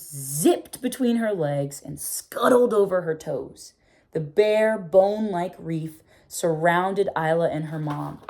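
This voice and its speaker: Expressive woman reading a picture book. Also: medium pitch. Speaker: read-aloud narrator.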